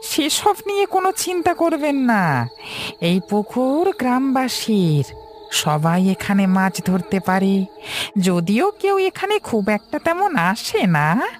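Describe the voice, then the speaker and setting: A woman voicing a sly, calm male fish-eating ghost in a cartoon horror story. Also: on the high side, slow pace, faint music behind.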